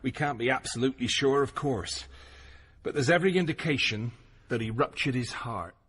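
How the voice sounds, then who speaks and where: Policeman: husky, polite, plain. Period radio drama. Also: medium pitch, normal speed, a bit bright. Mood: grave. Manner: polite, deferential, matter-of-fact. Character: polite, matter-of-fact country policeman.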